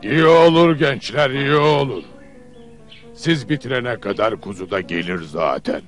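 A man playing a sly man-eating giant father who feigns friendliness in an old cartoon, with theatrical, drawn-out folksy delivery. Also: mid-range voice, animated, soft background music, natural voice. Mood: generous, coaxing. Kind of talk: old cartoon fairy-tale dubbing.

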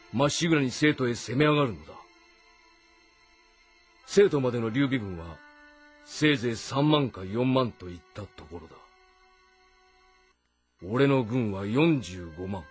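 A man's voice: mid-range voice, lightly acted. A man playing a calculating ancient Chinese warlord in a historical game, brooding and authoritative in delivery. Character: commanding, calculating male warlord of ancient China. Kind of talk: dramatized historical game monologue.

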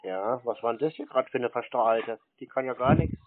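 A man chatting casually on the phone in a laconic, teasing, deadpan way. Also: mid-range voice, a little fast. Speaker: prank call recipient stringing callers along. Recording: noisy, tinny phone recording.

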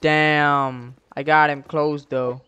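A young man giving casual gameplay commentary in an excitable, slangy, profane way, speaking into a headset. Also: fairly high pitch, slow. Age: young adult. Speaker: gamer, YouTube host. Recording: clear game gunfire noise behind voice. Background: shooter game gunfire and clicks.

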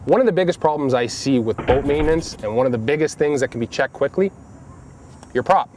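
A man's voice: medium pitch, animated, brisk. A man with a Canadian accent giving practical, plain-spoken how-to tips as a pro angler, emphasizing key points in an instructional way. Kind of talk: practical how-to demonstration talk. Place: outdoors beside a boat and trailer. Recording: outdoor vehicle and ambient noise.

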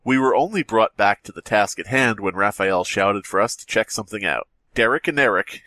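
A man's voice: lowish voice, expressive, brisk, pushed and bright, no echo. A man co-hosting a podcast, casually joking, swearing and reading aloud. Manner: sweary, wisecracking. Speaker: podcast co-host.

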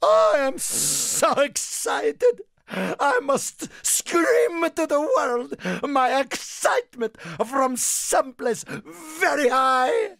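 A man voicing a small, excitable, proud Italian car in a video game, with an Italian accent and theatrical broken English. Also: very high-pitched, very slow, vocal fry, dry recording, heavily acted. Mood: excited, proud. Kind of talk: animated video game character lines.

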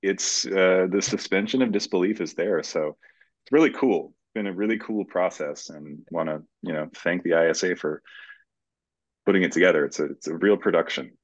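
Low-voiced man on a dull video call. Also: normal speed. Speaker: screenwriter being interviewed. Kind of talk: conversational video-call interview. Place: indoors in a plain room, on a video call. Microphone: computer video-call microphone.

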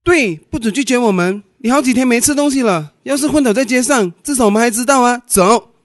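Guy playing gentle coaxing young friend in a radio play, dry sound. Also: extremely high pitch, fairly quick, no room sound. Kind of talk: radio drama acting.

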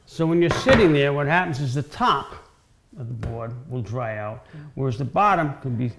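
Gravelly-voiced older man explaining. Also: mid-range voice, expressive, normal speed, noisy background. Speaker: guest woodworker explaining cutting boards. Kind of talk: informal explanatory demonstration. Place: home-style kitchen, indoors.

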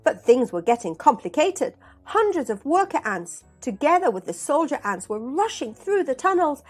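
Animated high-voiced woman reading to children. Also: normal speed. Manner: expressive, addressing children directly. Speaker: storyteller and host. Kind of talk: children's storybook read-aloud. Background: background music.

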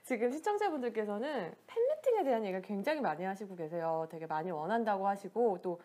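A woman's voice: medium pitch, expressive, quick pace, background noise. Chatty young woman hosting a livestream. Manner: bright, chatty, reads viewer comments. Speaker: interviewer host. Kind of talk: casual livestream interview. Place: small indoor studio room with piano.

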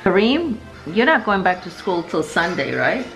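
A woman's voice: lowish voice, big pitch swings, normal speed. A middle-aged woman hosting a casual home vlog, playfully stretching and repeating words for emphasis. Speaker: family vlogger, host. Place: home dining room and kitchen. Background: music.

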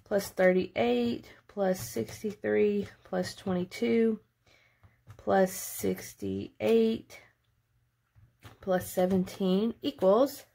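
A woman's voice: mid-range voice, fairly even intonation, very slow, creaky, warm tone. A woman in her late twenties talking casually through a budgeting vlog, chatty and thinking aloud as she goes. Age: late 20s. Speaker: budgeting vlogger. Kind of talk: casual narrated budget walkthrough.

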